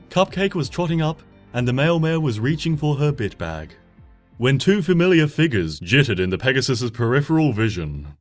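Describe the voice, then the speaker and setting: A man reading a fan story aloud as an expressive narrator, often dropping to a hush at sentence ends. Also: mid-range voice. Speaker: fanfiction reader. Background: soft background music.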